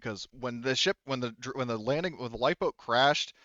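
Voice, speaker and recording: A man's voice: medium pitch, brisk, bright, forceful tone, no room sound, highs cut off. A man narrating a tabletop game as game master, casual and improvised, swearing freely. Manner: informal, frequent swearing.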